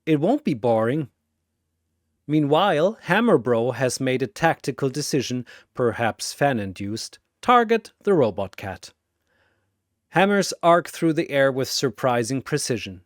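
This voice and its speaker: A man's voice: mid-range voice, big pitch swings, unhurried, no echo, studio quality. A man narrating a scripted battle story in a dramatic style.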